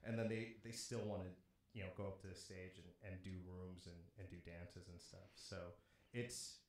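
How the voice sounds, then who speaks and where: A man's voice: sits low, level tone, moderate pace. A man of nearly forty talking casually and swearing freely, in a very echoey room. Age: late 30s, nearly forty. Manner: profane. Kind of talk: casual chat. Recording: very echoey room, faint noise.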